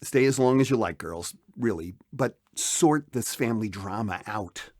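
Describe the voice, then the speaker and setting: A man's voice: lowish voice, normal speed, a little mellow, dry recording, studio quality, close to an everyday voice. An actor playing an easygoing, flustered middle-aged father in an audio drama, conciliatory and a little hesitant. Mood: flustered, placating. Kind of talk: scripted audio drama acting.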